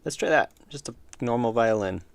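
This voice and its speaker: A man streaming as an indie game developer, chatting casually and thinking aloud as he answers chat, with a deep voice. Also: expressive, fairly quick, gravelly. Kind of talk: casual livestream commentary while making music. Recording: loud music playing under the voice. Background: loud music from his own track.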